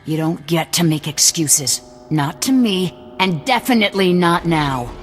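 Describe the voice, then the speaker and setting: A hard-nosed female resistance leader barks curt orders in a deep, low voice. She sounds distrustful and commanding. The game cutscene rings with a long echo under a loud musical score. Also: expressive, unhurried, soft background music. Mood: stern.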